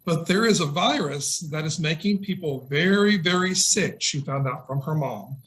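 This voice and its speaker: High-voiced man reading to young children. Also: lively intonation, moderate pace, fairly bright tone. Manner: simple explanations and questions addressed to children. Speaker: nurse and guest storybook reader. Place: indoors on a video call. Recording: noisy video call with clicks.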